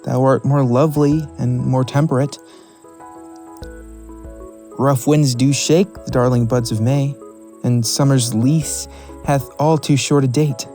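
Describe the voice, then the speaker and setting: A man's voice: mid-range voice, dark tone. A young man reciting a Shakespeare sonnet as a performed monologue. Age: young adult. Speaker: student drama performer.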